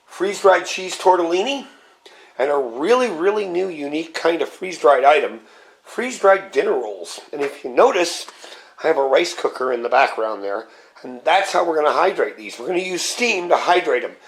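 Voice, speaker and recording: A man's voice: on the high side, moderate pace. A man with a slightly scratchy voice, getting over a cold, hosting a casual cooking vlog and explaining each step as he works. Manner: relaxed, explains step by step while handling gear. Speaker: channel host.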